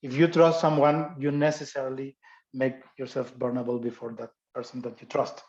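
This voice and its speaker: A man speaking English as a second language delivers an academic talk at a mid-range pitch. He stops and restarts often, searching for words through many fillers. The audio comes through dull and lo-fi. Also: level tone, brisk, a little mellow. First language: not English. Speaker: guest speaker.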